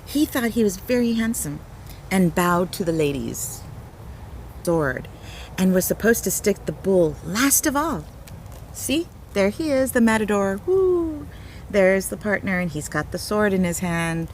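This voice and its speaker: A woman's voice: mid-range voice, sing-song, a little slow, slight background noise. A woman storyteller reading a picture book aloud to young children, expressively, with simple explanatory asides and exclamations.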